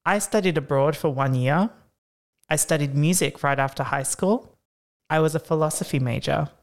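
A young Australian woman co-hosting a casual chat, playful and quick to laugh. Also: low voice. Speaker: podcast co-host.

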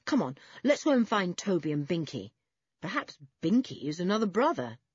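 A woman voicing a cheerful, sensible older schoolboy who leads the group in a children's storybook, brisk and hearty. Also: lowish voice, big pitch swings, slightly rough, no room sound, dull audio. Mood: amused, decisive.

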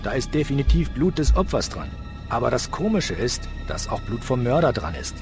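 Chatty male police lab tech acted by a man, mid-pitched with lively inflection, music underneath; radio play. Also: moderate pace. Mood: intrigued. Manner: wry, colloquial. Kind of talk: audio drama acting of a video game story.

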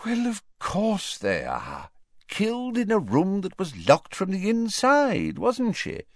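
A man's voice: high voice, huge pitch swings, a little slow, no room sound, clean studio sound, pitched above the performer's own voice, full character voice. A man voicing a flustered, defensive and weary older government minister in an audiobook, with agitated, emphatic delivery.

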